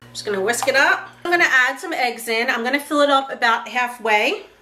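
Woman, thirties, home kitchen, cooking tutorial, friendly instructions, high-ish voice. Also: brisk, sharp tone, a little hiss or hum. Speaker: home cook. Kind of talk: cooking demonstration.

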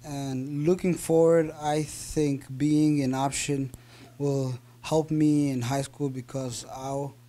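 A man's voice: on the high side, little pitch movement, slow pace, warm tone. A teenage boy reading a prepared speech at a school board meeting, with small restarts. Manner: reads carefully with small restarts. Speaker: student speaker. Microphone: podium microphone.

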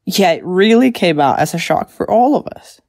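A woman's voice: fairly low pitch, expressive, normal speed, darkish tone. A woman playing a sympathetic character recounting an adventure in an audio drama. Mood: storytelling.

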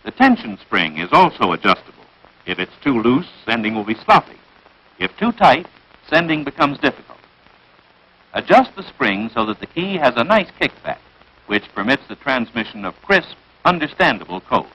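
An American man reading scripted narration for a 1940s military training film in a crisp, instructive manner, on a vintage film soundtrack. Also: medium pitch, very animated, normal speed, sharp tone, muffled recording.

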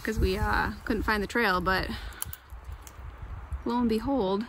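A woman's voice: steady tone, takes it slowly, fairly bright tone. A woman in her thirties chatting casually as she hosts an outdoor vlog on a handheld camera. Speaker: vlog host. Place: outdoors on trails and roadsides. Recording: noisy outdoor recording with ambient rumble.

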